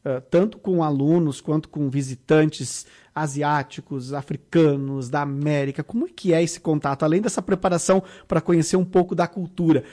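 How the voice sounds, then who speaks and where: Gaúcho-interviewer, a calm questioner with a mid-pitched voice. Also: moderate pace, few pauses.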